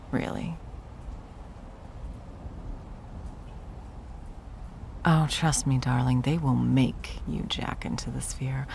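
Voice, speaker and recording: A woman playing a possessive, coldly menacing yet cloyingly sweet corporate ex-girlfriend in an intimate ASMR roleplay, taunting with drawn-out endearments and breathy whispers. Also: rumbling low, a little mellow, slight background noise. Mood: smug, condescending.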